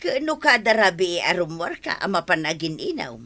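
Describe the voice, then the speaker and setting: A woman's voice: fairly low pitch, big pitch swings, normal speed, pushed and bright, heavily acted. A woman acting a bossy, mocking village woman who scolds and teases in a comedic radio drama. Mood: scolding.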